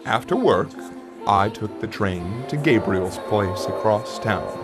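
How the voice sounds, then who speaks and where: A man acting a wistful father who recounts a dark memory to his child in a conversational, reflective monologue with dramatic pauses. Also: lowish voice, lively intonation. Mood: wry, reminiscing. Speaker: narrator of an audio play. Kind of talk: first-person dramatic monologue, storytelling. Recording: music under the voice.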